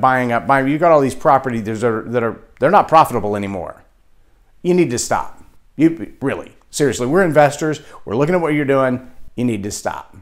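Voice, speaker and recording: Texan male leadership speaker, mid pitch, animated, does quoted voices. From Texas. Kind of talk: motivational talk to camera.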